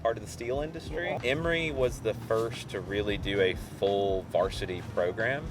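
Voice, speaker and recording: A man's voice: on the low side, moderate pace, noisy background. A man in an informal interview, explaining local soccer history in a conversational way.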